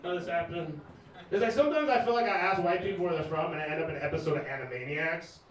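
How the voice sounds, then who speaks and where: A man's voice: a little high, quick pace. A man originally from Iran doing a stand-up set on a club stage, chatting loosely with the crowd and throwing in asides. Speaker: stand-up comedian and DJ. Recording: very echoey club room, mic far off, crowd loud. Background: audience laughter and cheering.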